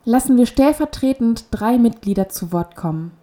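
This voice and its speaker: A woman reading a documentary introduction in smooth, measured narration. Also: on the high side, animated, mellow.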